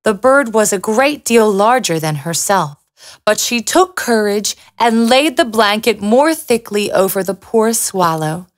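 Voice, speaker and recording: A woman's voice: medium pitch, animated, unhurried. A woman storyteller reading a scripted fairy tale for children.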